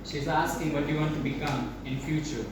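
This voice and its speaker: A woman teacher running a classroom session, warmly prompting the students to speak. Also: deep voice, fairly even intonation, a little fast. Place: school room with echo. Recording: echoey room, mic far off, background noise.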